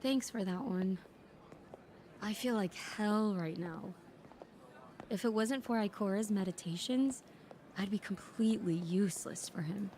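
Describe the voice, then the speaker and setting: A woman's voice: medium pitch, unhurried, pauses often, warm tone, a little hiss or hum, natural voice. A woman acting a weary, bookish, dryly sardonic soldier-scholar in a sci-fi audio drama, with natural, hesitant conversational delivery. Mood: drained.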